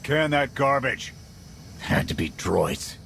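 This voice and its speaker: A man playing a blunt, gruff military squad commander in a video game. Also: medium pitch, big pitch swings, slow pace, a bit bright, a little hiss or hum, exaggerated voice. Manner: gruff, blunt soldier talk.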